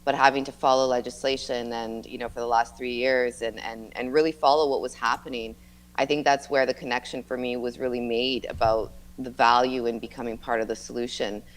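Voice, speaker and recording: Woman, middle-aged, very deep pitch, earnest interview answers, political candidate. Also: fairly even intonation, fairly quick, poor audio. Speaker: mayoral candidate being interviewed.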